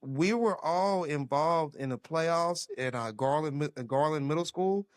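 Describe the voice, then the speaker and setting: Animated man telling football stories. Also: on the high side, unhurried, clear, resonant tone, no echo, studio quality. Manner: animated storyteller with laughs. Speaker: former football player, guest. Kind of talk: casual reminiscing conversation.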